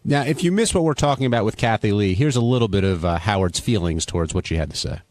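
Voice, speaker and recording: A man's voice: on the low side, expressive, quick pace, a little mellow. A man hosting a radio talk show, casually putting questions to his panel and callers. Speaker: host of a radio wrap-up show. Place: radio studio.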